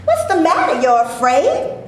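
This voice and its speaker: A woman's voice: very high voice, wildly swooping pitch, measured pace, heavily acted. A woman acting a feisty, fed-up film actress who is stuck playing maids, in a staged play reading, with sassy, indignant colloquial talk. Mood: defiant. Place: echoey room before a live audience. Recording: echoey room with noise, live audience recording.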